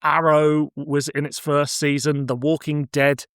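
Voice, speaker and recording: A man's voice: on the high side, flat delivery, a little slow, a bit bright, smooth, no echo, studio quality. A man speaking as a podcast host, chatting casually and introducing items for review.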